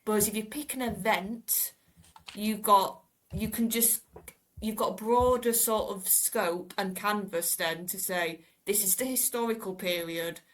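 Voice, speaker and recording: A woman's voice: medium pitch, fairly even intonation, unhurried, a bit bright, low-quality recording. Woman explaining casually on a podcast. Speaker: podcast panellist. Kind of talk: casual fan discussion.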